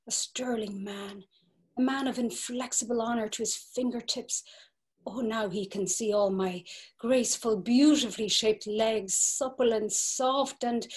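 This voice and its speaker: A woman's voice: on the high side, natural voice. A woman reading as a dreamy young Irish girl. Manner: breathy, dreamy, sometimes whispered. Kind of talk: dramatic reading of a novel. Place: home, video call. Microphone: video call.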